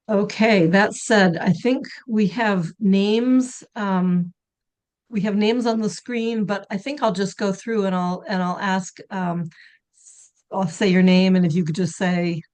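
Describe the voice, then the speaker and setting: A woman chairing a town committee meeting on a video call, polite and brisk as she calls on applicants. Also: fairly low pitch, a little mellow. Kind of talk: running a public meeting.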